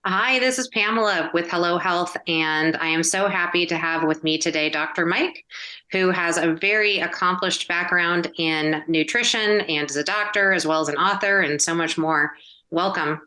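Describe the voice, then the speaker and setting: A woman's voice: lowish voice, normal speed, bright, forceful tone. A woman hosting a health podcast, asking a guest questions in a conversational way. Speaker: podcast host, interviewer. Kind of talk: conversational interviewing.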